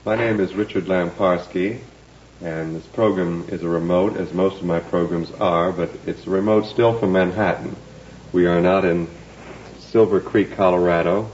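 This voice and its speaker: American male radio host, deep bass register, conversational interview style, lo-fi sound. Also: darkish tone. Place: remote interview on location. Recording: dull noisy old radio recording.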